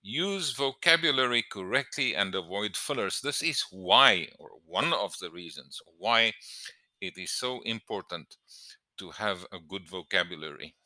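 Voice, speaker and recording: Male English teacher, very low pitch, slow and emphatic, instructional delivery. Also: expressive, bright, forceful tone, no room sound. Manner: emphatic, deliberate, stressing key words. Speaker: English teacher giving exam tips. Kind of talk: instructional lesson to camera.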